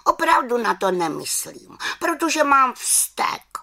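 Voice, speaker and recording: A woman's voice: high voice, sing-song, gravelly, sharp tone, pitched above the performer's own voice, exaggerated voice. A woman voicing a small, childish young witch in a children's storybook, speaking in an emphatic, sulky manner. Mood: vexed. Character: small young witch girl, aged 127, childish and stubborn.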